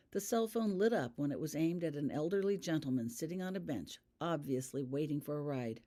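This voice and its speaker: A narrator reads a story aloud in a mid-pitched voice. The delivery is scripted audiobook narration, read by the author of the piece. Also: dark tone. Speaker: author reading own fan fiction.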